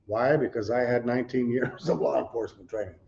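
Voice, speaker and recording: A man's voice: mid-range voice, fast talker, gravelly, darkish tone. A middle-aged man preaching in a loose, conversational way, often saying "you know" and asking his audience questions. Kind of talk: conversational teaching sermon with slides. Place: church meeting room with a responding audience. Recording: dull lo-fi recording.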